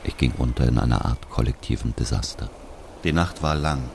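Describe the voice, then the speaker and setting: Man playing grieving brother in a literary drama: very deep, solemn, measured narrator. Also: gravelly, background noise. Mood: somber. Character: brooding Algerian man recalling his murdered brother.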